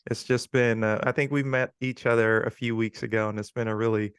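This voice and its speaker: Smooth-voiced man in his forties, from Guyana. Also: medium pitch, fairly quick, no room sound, clean studio sound. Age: 40s. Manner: relaxed, chatty, frequent ums. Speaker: podcast guest. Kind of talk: casual interview talk.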